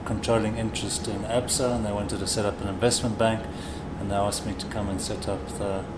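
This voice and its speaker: A middle-aged man from South Africa with a South African accent, giving measured, conversational answers as an executive being interviewed. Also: on the low side, flat delivery, heavy background noise. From South Africa, grew up in Durban. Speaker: interview guest, corporate chief executive.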